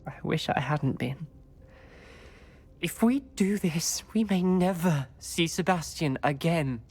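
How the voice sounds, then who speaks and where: A man's voice: on the high side, takes it slowly, breathy edge, full character voice. Solemn, conscientious teenage wizard boy. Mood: resigned, solemn. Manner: solemn, formal. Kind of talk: dramatic video game dialogue.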